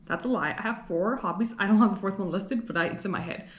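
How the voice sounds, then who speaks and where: A woman's voice: medium pitch, fast talker, echoey room, slight background noise. A young woman from Los Angeles talking casually to her camera in a rambling, chatty vlog, full of fillers and little laughs. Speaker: vlogger. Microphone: camera mic.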